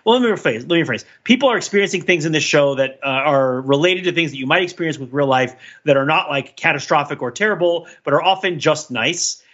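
A man co-hosting a casual pop culture discussion, talking in a halting, digressive way and often correcting himself. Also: fairly high pitch, expressive, a bit bright, muffled recording. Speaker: podcast co-host.

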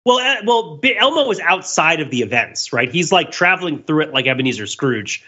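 A man with a bright, slightly high voice talks as a podcast host. He rambles through comic retellings in an animated, casual way, wandering off on tangents. The recording is a little dull and lo-fi. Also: a little fast. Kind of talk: casual comedic pop-culture discussion.

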